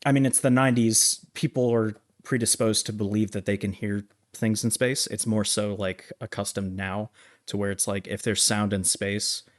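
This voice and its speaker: A man's voice: lowish voice, steady tone, moderate pace, dry recording, clean studio sound. A man co-hosting a film review podcast, chatting casually and stating his opinions firmly. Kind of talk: casual movie discussion.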